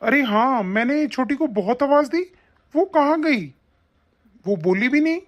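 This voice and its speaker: A woman voicing a mild-mannered grown son and husband in a family skit, with a husky lowered voice. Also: lively intonation, unhurried, dull audio. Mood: concerned.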